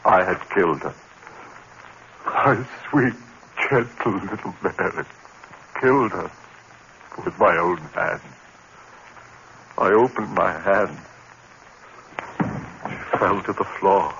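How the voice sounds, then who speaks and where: A haunted male lecturer sliding into madness narrates in a crisp, bright voice that breaks into frantic cries. The old radio recording sounds dull and carries a faint hiss. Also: deep voice, slow pace, long pauses, exaggerated voice. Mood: brooding narration. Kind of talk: 1940s radio acting.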